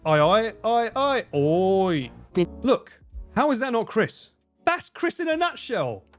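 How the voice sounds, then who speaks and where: Excitable man with lively pitch. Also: slow pace, soft background music. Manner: excitable, crude, laughs often. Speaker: streamer. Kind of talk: casual live stream chat.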